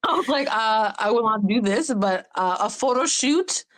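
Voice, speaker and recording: Woman, late 30s, podcast co-host, easygoing and agreeable, slightly high pitch. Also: animated, moderate pace, a bit bright. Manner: easygoing, agreeable, laughs along. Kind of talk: casual chat.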